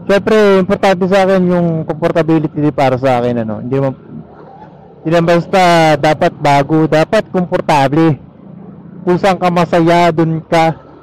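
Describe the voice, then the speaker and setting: High-voiced Filipino man vlogging on the road. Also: fairly even intonation, moderate pace, a little mellow, low-quality recording. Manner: easygoing Taglish code-switching. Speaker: motorcycle vlogger. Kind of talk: casual rambling vlog chat while riding. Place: outdoors on a motorcycle, inside a helmet. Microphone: helmet intercom or action camera mic. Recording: helmet mic with engine and road noise.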